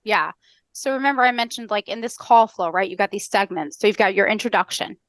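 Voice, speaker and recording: A middle-aged American woman on a headset, explaining step by step as a podcast guest in a brisk, structured, instructional way. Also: mid-range voice, dry recording. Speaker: podcast guest, customer service trainer. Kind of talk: explanatory interview talk with acted-out call examples. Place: indoor room on a video call.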